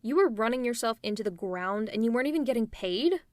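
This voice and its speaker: A woman performing an audio roleplay, talking softly and teasingly to the listener as if to a friend. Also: a little high, expressive, fast talker, dry recording, studio quality. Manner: soft, caring, teasing, often near a whisper. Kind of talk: ASMR roleplay, speaking to the listener.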